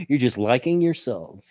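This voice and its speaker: A man's voice: on the high side, dry recording. This is an older man with a scratchy voice and a mixed Hoosier-Kentucky accent. He chats loosely and laughs easily on a stream, his voice close and dry. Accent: Southern Indiana and Kentucky. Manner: laughs often, folksy. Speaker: show host. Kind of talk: casual stream chat.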